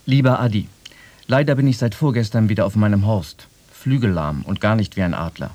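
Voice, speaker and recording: A man's voice: fairly low pitch, brisk, darkish tone, no room sound, lightly acted. A man playing a wry soldier-writer, reading a personal letter to a friend in a radio feature. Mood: wry, resigned. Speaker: quote reader in a radio feature.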